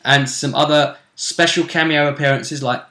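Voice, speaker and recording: A man with a bright, forceful voice talks as the host of a casual tabletop gaming recap. His speech is informal and conversational, recorded with noticeable room echo. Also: a little high, fairly even intonation, normal speed. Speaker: host and game master of a tabletop role-play recap. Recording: roomy, echoey sound.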